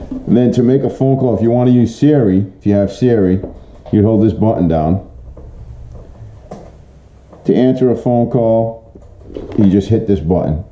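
A middle-aged man giving a casual, plain-spoken product walkthrough in informal, colloquial language, as a vlogger. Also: lowish voice. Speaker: vlogger showing motorcycle gear. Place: home kitchen, indoors. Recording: muffled, boxy room echo, noticeable hiss.

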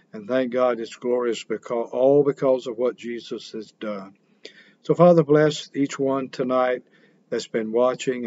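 A man's voice: mid-range voice, a little mellow, lo-fi sound. A man, a pastor preaching earnestly to a camera at home with some fillers. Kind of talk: sermon. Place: small home room indoors.

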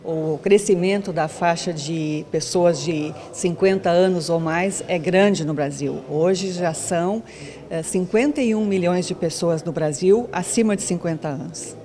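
A middle-aged Brazilian woman answers interview questions on a handheld mic. Her voice sits slightly low as she explains things as a project director. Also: unhurried, mellow. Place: event lounge. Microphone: handheld interview microphone.

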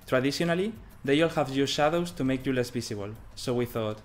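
A man's voice: medium pitch, fairly quick. A man in his thirties giving a scripted presentation as a game developer. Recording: music behind the voice. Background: background music.

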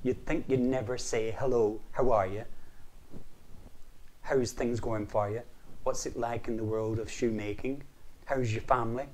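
A man voicing a tiny male leprechaun shoemaker who scolds people, in a raised, higher voice. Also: steady tone, fairly quick, hardly any pauses, darkish tone, close to an everyday voice. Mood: indignant.

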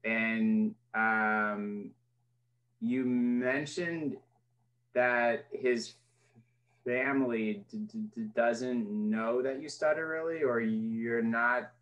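A young man hosting an interview over a video call, stuttering openly with repeated sounds, casual and relaxed. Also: medium pitch, very slow, muffled recording. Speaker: podcast host. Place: small room indoors. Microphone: webcam mic on video call.

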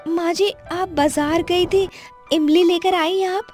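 Woman acting a very high-pitched, meek young daughter-in-law. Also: steady tone, slow, darkish tone, soft background music. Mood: polite asking. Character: young pregnant daughter-in-law, meek, dutiful, quietly hurt. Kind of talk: acted dialogue for an animated moral story.

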